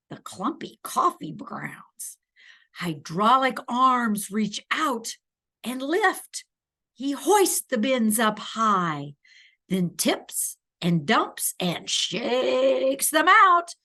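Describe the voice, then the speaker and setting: A woman reading a children's picture book aloud expressively, with deliberate emphasis and playful little asides to the young listener. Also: a little high, a bit bright.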